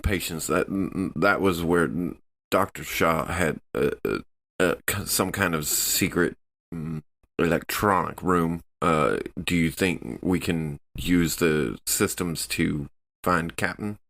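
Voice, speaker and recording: Male game player, very deep bass register, hesitant stop-and-start delivery, casual role-play talk. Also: a bit bright. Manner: hesitant, halting.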